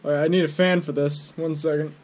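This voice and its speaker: A young man chatting casually to camera at home, with a halting, self-critical mutter and a slightly husky voice. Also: a little high, steady tone, a little mellow. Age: young adult. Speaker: amateur video creator doing voice impressions. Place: small home room, indoors.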